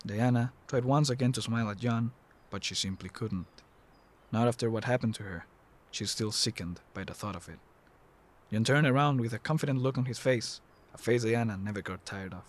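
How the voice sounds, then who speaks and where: A man's voice: mid-range voice, fairly quick. A man serves as narrator of a scripted audio drama. His voice is mid-range, and he reads the story in prose.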